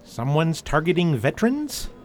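A man's voice: a little high, sing-song, normal speed. A man playing a defensive, exasperated war veteran in an audio drama, wry and a little hesitant. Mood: flustered.

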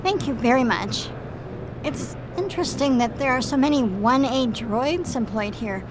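A high, unhurried female android voiced by a woman from a radio play. She is calm and earnest, measured in every phrase, with faint noise around her. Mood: earnest, inquiring. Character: female android partner, calm, earnest, curious about humans. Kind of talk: audio drama acting.

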